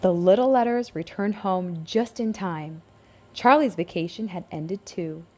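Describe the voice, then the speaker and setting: A woman's voice: lowish voice, unhurried, warm tone. A woman narrating a scripted picture book aloud for young children, as a storybook reader.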